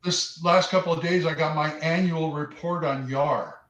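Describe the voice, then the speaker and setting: A man co-hosting a casual livestream, with a voice on the high side. He is chatty, gets exasperated and sometimes stammers. His mic picks up a roomy echo. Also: steady tone, moderate pace, fairly bright tone. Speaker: co-host. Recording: echoey room.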